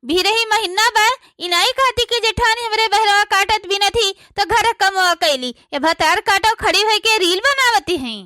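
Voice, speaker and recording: Woman voicing a shrill, sharp-tongued cartoon village housewife. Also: normal speed, few pauses, dry recording, clean studio sound. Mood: indignant scolding. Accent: Awadhi. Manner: complaining, scolding. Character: quarrelsome village housewife, sharp-tongued, self-pitying cartoon daughter-in-law. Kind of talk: cartoon comedy dialogue.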